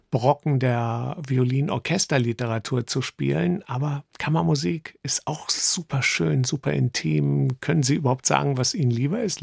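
Gravelly-voiced male radio host. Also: mid-range voice, darkish tone, no room sound, clean studio sound. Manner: measured, polite questions. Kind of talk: radio interview. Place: radio studio.

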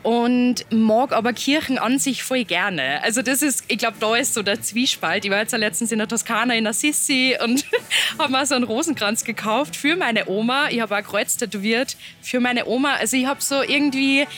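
A young woman from Upper Austria with an Upper Austrian accent, speaking as a musician guest in a relaxed interview, chatty and loose. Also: fairly high pitch, normal speed. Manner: chatty, loose, laughs between thoughts.

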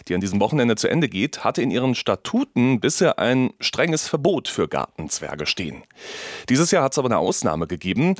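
Low, dry-sounding male news presenter. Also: no echo. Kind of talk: scripted news introductions.

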